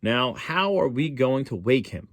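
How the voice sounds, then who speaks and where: A man's voice: mid-range voice, big pitch swings, unhurried, no room sound, studio quality, voice pushed up. A man voicing a scolding, no-nonsense female groundhog aunt in a storybook. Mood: exasperated.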